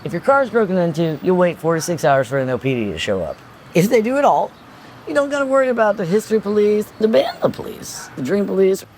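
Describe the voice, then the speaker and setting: A sassy, carefree woman tour operator played by an actress with a mid-pitched, very lively voice. She banters breezily in an audio drama, with faint traffic noise behind her. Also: fast, natural voice. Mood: breezy, bossy. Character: brash, easygoing, reckless woman tour operator. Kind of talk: horror audio-drama dialogue.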